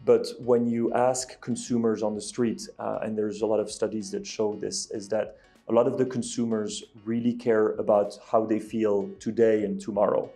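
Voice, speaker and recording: A man's voice: lowish voice, flat delivery, normal speed, warm tone, soft background music. A man from Belgium, answering interview questions as a company executive, hesitant with many ums and restarts. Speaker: guest, ingredient company executive.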